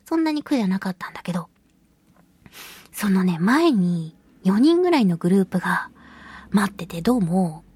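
Woman radio host with a slightly husky voice. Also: mid-range voice, big pitch swings, moderate pace, long pauses, a little mellow. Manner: self-deprecating and giggly; acts out little quoted remarks while storytelling. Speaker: radio talk show host; voice actress. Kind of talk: casual solo radio chat, telling personal anecdotes.

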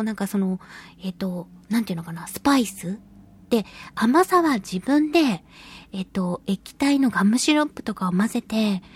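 A woman hosting a casual solo radio talk and reading listener mail, chatty, giggly and expressive, with a slightly breathy voice. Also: medium pitch. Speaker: radio show host and voice actress.

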